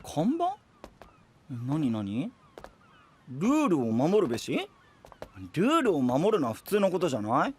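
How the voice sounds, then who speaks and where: Excitable, animated boyish young knight. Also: male voice, fairly high pitch, a little slow, pauses often, slight background noise. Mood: puzzled, curious. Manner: boisterous, blunt, casual boyish speech. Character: excitable, naive, good-natured country-boy young knight. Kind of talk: Japanese anime audio drama acting. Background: background music.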